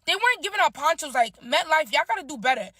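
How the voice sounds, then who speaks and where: A woman chatting in a slangy, animated way as she recaps a concert in a casual vlog. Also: high voice, fast, dry recording, studio quality. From Nigerian heritage.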